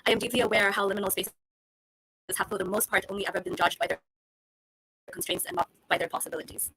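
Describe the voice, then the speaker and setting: A woman's voice: mid-range voice, steady tone, fast talker, creaky, a bit bright, slightly rough, low-quality recording. A woman from Kenya giving an emotional, tearful acceptance speech as an award-winning writer.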